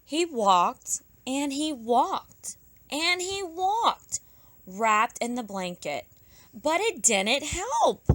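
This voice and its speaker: A woman teacher narrating a children's storybook aloud, expressively, with drawn-out emphasis for young listeners. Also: high voice, very animated, a bit bright, no echo. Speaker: pre-kindergarten teacher.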